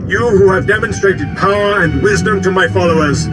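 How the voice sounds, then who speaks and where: An actor playing an imperious hidden cult master in a video game, speaking in solemn, commanding ceremonial tones. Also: male voice, sits high, normal speed, over loud music, natural voice. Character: imperious hooded male cult master in a ritual. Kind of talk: video game dialogue acting.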